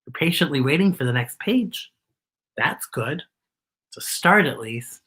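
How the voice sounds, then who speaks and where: A woman's voice: low voice, expressive, unhurried, a bit bright, husky. A woman hosting a children's storytime, reading a picture book aloud with chatty asides and explaining things expressively as if to young children.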